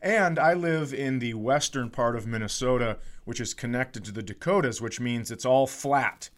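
A man's voice: medium pitch, moderate pace. A middle-aged American man telling casual comedic stories as a podcast host, with a profane, exasperated ranting manner.

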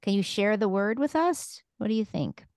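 A woman's voice: medium pitch, lively intonation, moderate pace, darkish tone. A woman asking casual, conversational questions as a podcast interviewer.

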